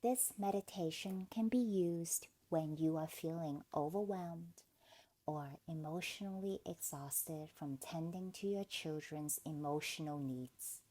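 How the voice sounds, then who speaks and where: A woman leading a guided meditation in a gentle, soothing, deliberate manner, leaving pauses between phrases. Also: sits low. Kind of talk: guided meditation, scripted narration.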